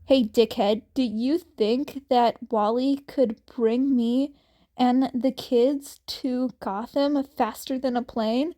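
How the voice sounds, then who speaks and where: Girl doing a sarcastic big brother guy, dry and blunt, slow delivery, fanfic reading. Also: fairly high pitch, steady tone, mellow. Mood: businesslike.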